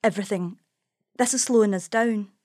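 A harried young policewoman, voiced by a male narrator, lifted well above his own voice and quick with apologies. The read is dry and close, a storybook reading. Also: sits high, a little mellow, dry recording, pitched above the performer's own voice. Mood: flustered. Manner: apologetic, hurried. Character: flustered young woman police officer.

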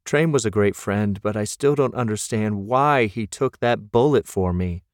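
A man's voice: fairly low pitch, moderate pace, no room sound, studio quality, close to an everyday voice. A man voicing a defensive young man grieving his friend, speaking curtly. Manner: curt, defensive.

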